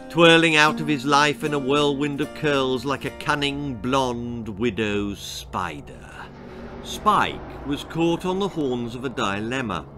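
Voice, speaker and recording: A British man in his sixties reading a children's story aloud with expressive, theatrical narration. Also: mid-range voice. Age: 60s. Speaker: author reading own story. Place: small book-lined room indoors.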